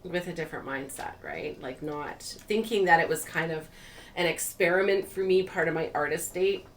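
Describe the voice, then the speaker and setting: A woman vlog host telling personal stories in a casual, animated way, acting out bits of dialogue as she goes. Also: low voice, moderate pace, slight background noise.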